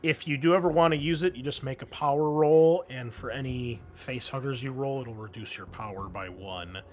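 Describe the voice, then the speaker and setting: A man running a tabletop roleplaying game as game master, explaining rules and scenes with thinking pauses. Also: medium pitch, a little hiss or hum. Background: music.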